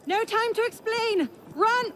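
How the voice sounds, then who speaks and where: A woman's voice: extremely high pitch, slow pace, a bit bright, exaggerated voice. Game casting: determined, dryly witty noblewoman, young and poised, noisy surroundings behind her. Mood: urgent. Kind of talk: video game cutscene acting.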